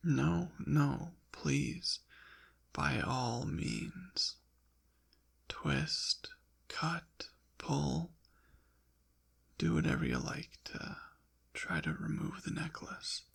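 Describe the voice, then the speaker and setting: A man playing a suave, possessive ancient demon in an intimate ASMR roleplay, teasing and haughty in formal, old-fashioned wording, with a breathy, often whispered, gravelly voice. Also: on the high side, a bit bright, full character voice. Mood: mocking amusement.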